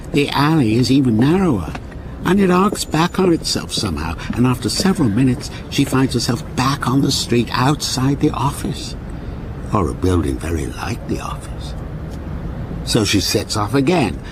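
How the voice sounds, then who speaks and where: An actor playing a courteous, softly insistent storyteller and train passenger in a radio ghost story, with a measured, solicitous way of talking. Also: male voice, medium pitch, sing-song, noisy background, lightly acted. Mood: atmospheric, suspenseful.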